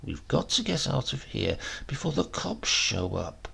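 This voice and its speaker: A man's voice: mid-range voice, wildly swooping pitch, measured pace, gravelly, sharp tone, slightly rough, exaggerated voice. A man reading the part of an irritable, businesslike burglar in an audiobook, giving curt orders through clenched teeth. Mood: irritated, hissing. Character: irritable, businesslike male burglar with tidy habits. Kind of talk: audiobook character voice.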